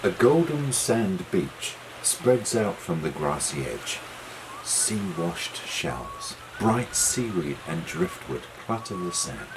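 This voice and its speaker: A storyteller narrating a children's tale in a gentle, measured and expressive way, with music behind the voice. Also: mid-range voice, background noise.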